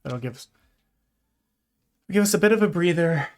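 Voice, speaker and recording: A man streaming a video game, stuffy-nosed and chatting in a relaxed, rambling, self-deprecating way. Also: a little high, normal speed, faint music behind. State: stuffy, congested nose. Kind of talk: casual live-stream chat while gaming.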